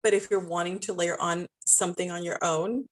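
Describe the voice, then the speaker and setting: A woman's voice: lowish voice, fairly even intonation, fairly quick, low-quality recording. Encouraging woman with a Spanish accent. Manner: encouraging, informal. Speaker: podcast guest, style coach and network marketer. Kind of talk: conversational interview advice.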